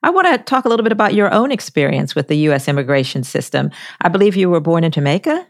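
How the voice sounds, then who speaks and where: A woman hosting an interview podcast, putting measured questions to her guest. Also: on the low side, sing-song, no echo, clean studio sound.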